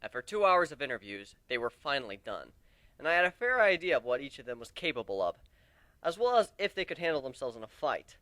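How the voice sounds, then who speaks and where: A man's voice: medium pitch, sing-song, fast, bright, forceful tone, no room sound. A man giving an amateur dramatic reading of a first-person fantasy adventure story.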